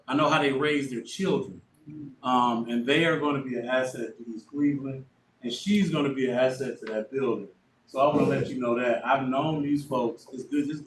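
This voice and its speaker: A man's voice: mid-range voice, a bit bright. A man speaking as a school superintendent at a board meeting, casual and folksy in manner. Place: meeting room. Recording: echoey room, distant mic, faint noise.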